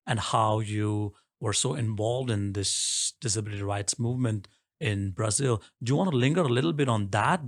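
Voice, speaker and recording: A man hosting a podcast interview from a studio, speaking in a friendly, welcoming manner. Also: on the low side. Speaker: podcast host. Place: recording studio.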